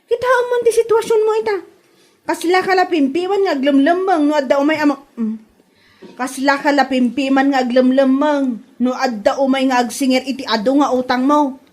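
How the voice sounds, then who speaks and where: Actress as a nosy Ilocano village wife, high voice, scolding and emphatic, for comedy drama. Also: female voice, moderate pace, a little mellow, close to an everyday voice. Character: sharp-tongued, scolding village woman, a gossipy neighbour and wife. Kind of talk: Ilocano comedy radio-style drama acting.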